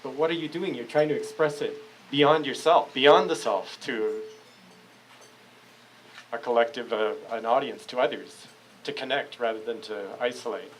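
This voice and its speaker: A man's voice: medium pitch, normal speed, slight background noise, low-quality recording. A man leading a public philosophy discussion, speaking thoughtfully and searchingly, often restarting his phrases. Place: public café discussion room.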